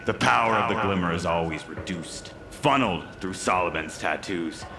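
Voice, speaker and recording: An actor playing a menacing, cruel and unhinged villain in an audio drama, with a halting, obsessive way of repeating himself. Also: male voice, deep voice, a loud crowd. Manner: halting, obsessive repetition of words. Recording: clear background noise.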